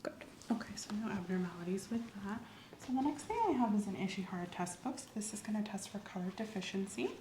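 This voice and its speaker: A soft-spoken woman in an ASMR medical roleplay, gently and calmly playing an examiner, often dropping into a whisper. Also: mid-range voice. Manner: gentle, reassuring, unhurried clinical narration. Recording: roomy echo, faint ambient noise.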